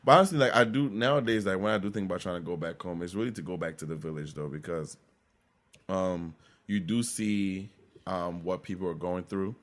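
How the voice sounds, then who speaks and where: A man's voice: low-pitched, a little fast. A man from Nigeria co-hosting a podcast, joking and teasing in casual chat, often repeating words as he starts a thought. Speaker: podcast co-host.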